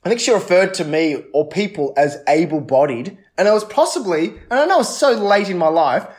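Australian man, fairly high voice, casual chatty storytelling, animated, halting delivery with frequent restarts. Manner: animated, halting, often restarts mid-thought. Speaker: comedian. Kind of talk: casual chat, personal storytelling.

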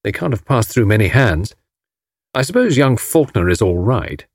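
A man voicing a dry, mildly disapproving young British husband with a crisp manner, reading an audiobook. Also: fairly low pitch, very animated, moderate pace, no echo. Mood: thoughtful.

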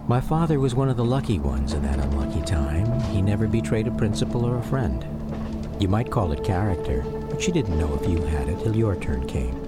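A man tells a personal story as a radio drama narrator. His voice is very deep and moves expressively while staying reflective and measured. Music plays clearly behind him. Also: very animated.

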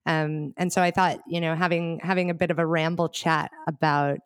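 A woman with a somewhat low voice hosts a casual interview. She asks long, rambling questions in a friendly way. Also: little pitch movement, moderate pace, clean tone. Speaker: host.

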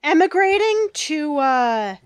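Woman, high voice, sarcastic, sweary banter, dry close sound. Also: big pitch swings, takes it slowly, dry recording. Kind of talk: casual banter.

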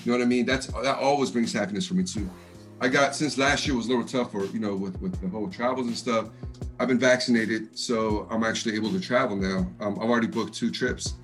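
A deep-voiced rapper kicking back on a music podcast, joking in slang, an American man with East Coast flavor, a track playing underneath. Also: fast. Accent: American with East Coast touch. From Texas, United States. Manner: slangy, easygoing, jokey. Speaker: hip hop artist, interview guest. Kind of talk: casual chat.

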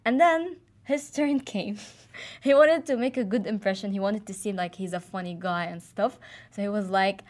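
A woman's voice: mid-range voice, normal speed, a little mellow. A young woman from Jordan, casually telling a personal story with many fillers and restarts. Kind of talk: casual chat.

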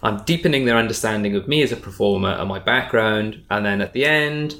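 A man speaking casually as an interviewed stage magician, emphatic and animated. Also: on the low side, brisk. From Wales. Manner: animated, emphatic, acts out imagined dialogue.

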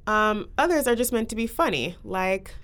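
A woman's voice: mid-range voice, lively intonation, moderate pace, fairly bright tone. A young woman hosting a casual podcast, talking with guests and presenting trends. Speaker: podcast host.

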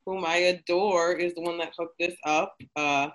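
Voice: medium pitch, steady tone, normal speed, a bit bright, poor audio. A podcast host introducing a guest over a video call, bubbly and laughing often. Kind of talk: casual chat interview.

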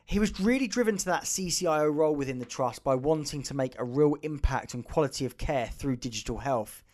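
A man's voice: on the high side, lively intonation, brisk, a little mellow. A man hosting a podcast, reading a scripted intro, then chatting casually with a guest, laughing and restarting his sentences often. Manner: upbeat, laughing, many fillers and restarts in conversation. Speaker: podcast host.

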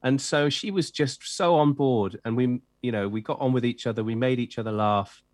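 A man's voice: medium pitch, moderate pace, a little mellow, dry recording, clean studio sound. A middle-aged man who grew up in Wales, an author chatting enthusiastically in an interview with lots of fillers and restarts. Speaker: children's author and editor. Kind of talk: interview chat.

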